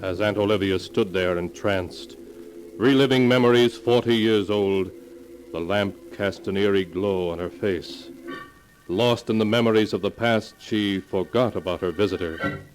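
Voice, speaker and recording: An actor playing a grave, eerie storyteller, narrating a 1940s radio mystery in a solemn, measured way. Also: male voice, fairly low pitch, lightly acted. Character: grave, eerie male storyteller of horror tales. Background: music.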